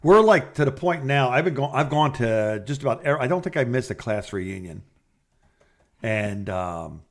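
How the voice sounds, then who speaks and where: A middle-aged man co-hosting a podcast, telling anecdotes in a casual, halting and rambling way. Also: mid-range voice, lively intonation. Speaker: podcast co-host. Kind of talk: casual phone chat, storytelling.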